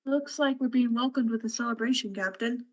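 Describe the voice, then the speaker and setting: A woman acting an alert, excitable ship's doctor and officer in a radio play. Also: a little high, brisk, muffled recording, close to an everyday voice. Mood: curious, polite.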